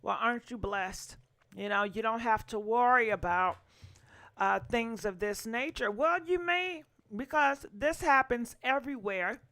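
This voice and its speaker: Bright-voiced woman hosting a call-in show. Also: fairly high pitch, lively intonation, unhurried. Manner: chatty, many fillers, prompts callers. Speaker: host. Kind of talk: casual call-in chat.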